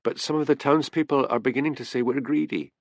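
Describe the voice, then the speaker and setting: A man voicing a charming, cunning woman who flatters and appeals in a gushing manner, in an audiobook story. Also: medium pitch, fast, dry recording, studio quality, voice pushed up, natural voice. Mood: complaining.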